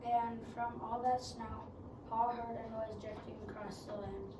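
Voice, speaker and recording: A woman's voice: a little high, level tone, a little slow. A female student reading narration aloud for a readers theater tall tale in class. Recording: echoey noisy room, distant mic.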